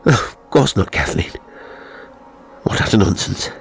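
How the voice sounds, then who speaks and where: A man voicing a tormented English gentleman in an audiobook, evasive and desperate, with a strained, halting intensity. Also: low voice, expressive, creaky, soft background music, heavily acted. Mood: brisk, dismissive. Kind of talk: audiobook character acting.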